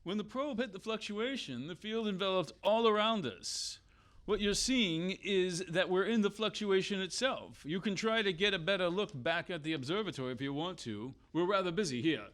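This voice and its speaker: High-voiced, agitated male scientist played by an actor. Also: animated, moderate pace, fairly bright tone. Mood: curt. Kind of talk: scripted audio drama acting.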